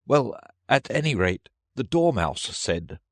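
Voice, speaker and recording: Storybook hatmaker, played by a man: trembling, anxious, pleading, voice pushed high. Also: very animated, takes it slowly, no echo, clean studio sound. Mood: flustered. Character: nervous, miserable, poor Hatter pleading in court. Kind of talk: storybook character voice.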